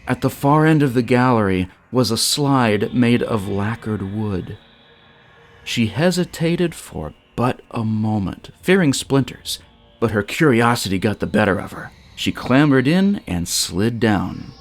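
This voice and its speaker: A man narrating a scripted story in a theatrical, deliberate way with dramatic pauses. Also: medium pitch, animated, soft background music. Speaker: storyteller and show creator.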